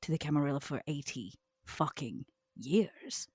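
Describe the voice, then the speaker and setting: A woman playing a proud, shrewd, long-lived vampire enforcer in tabletop roleplay, with a theatrical, deliberate and cutting delivery. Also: low-pitched, lively intonation, darkish tone, breathy edge, dry recording, clean studio sound, full character voice. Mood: bitter, proud.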